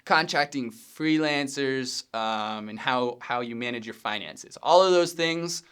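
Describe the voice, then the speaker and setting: A man in his thirties from Pennsylvania, hosting a casual solo podcast from his home studio in a relaxed, rambling way with many ums and self-corrections. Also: mid-range voice, unhurried, clear, resonant tone. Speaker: podcast host, video editor.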